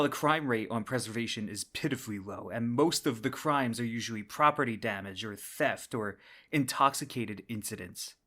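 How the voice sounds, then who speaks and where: A man's voice: medium pitch, a bit bright. Conversational man hosting an explainer podcast. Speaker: podcast host. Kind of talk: semi-scripted explainer commentary.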